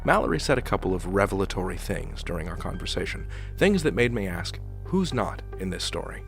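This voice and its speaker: A man's voice: deep voice, sing-song, fairly quick, creaky, soft background music. A man hosting a podcast with scripted narration, wry and speaking directly to the listener. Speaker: podcast host.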